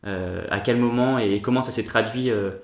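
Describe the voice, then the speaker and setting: A man's voice: medium pitch, a little fast. A man hosting a casual round-table chat, hesitant, with many fillers and restarts. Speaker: host. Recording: echoey room.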